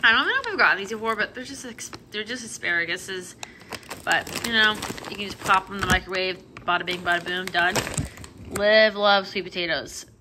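A woman's voice: mid-range voice, fairly quick, noisy background. A young adult American woman casually chatting through a haul item by item, with informal rambling asides, recorded on a handheld phone in a home kitchen. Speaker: vlogger and mother showing a grocery haul. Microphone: handheld phone behind the camera.

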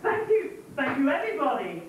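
A woman's voice: high voice, big pitch swings, normal speed. A woman playing a young, grateful boy patient in an amateur stage pantomime. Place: stage in a hall before an audience. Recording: echoey hall from far off, noisy camcorder.

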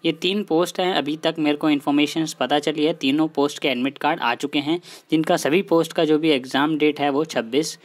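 A man's voice: on the high side, steady tone, a little fast, hardly any pauses. A man hosting an informal explainer, speaking directly to viewers, repeating himself and mixing in English words. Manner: repetitive, mixes English words into his talk. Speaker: host of an exam news channel.

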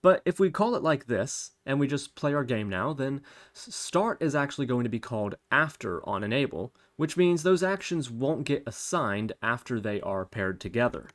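A man's voice: mid-range voice, sing-song, normal speed. A man hosting a tutorial, explaining each step as he works through it on screen. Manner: explanatory, thinking aloud while working. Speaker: tutorial host. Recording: clear background noise, keyboard typing and clicks. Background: computer keyboard typing and mouse clicks.

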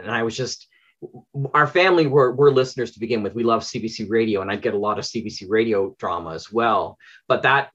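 A man's voice: lowish voice, fairly bright tone, dull audio. A middle-aged man from Canada, an interview guest telling stories conversationally on a video call. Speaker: interview guest, audio drama producer. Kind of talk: casual conversational storytelling. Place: small home room with bookshelves.

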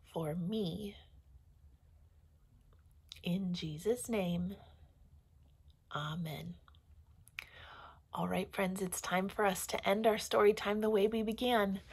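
A woman in her forties, a pastor hosting story time, speaking to young children in simple phrases with deliberate pauses. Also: mid-range voice, breathy edge. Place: small room at home or office, indoors.

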